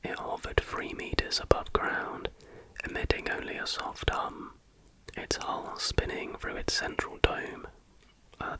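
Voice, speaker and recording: Male ASMR host, fully whispered, breathy and unvoiced, soft informal read-aloud delivery. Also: poor audio. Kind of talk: whispered ASMR reading with casual asides.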